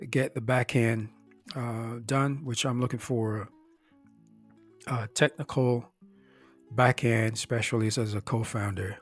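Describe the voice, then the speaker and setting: A slightly hoarse man casually running through his startup projects, speaking in a halting way with many fillers and restarts. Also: mid-range voice. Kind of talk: casual spoken rundown of projects.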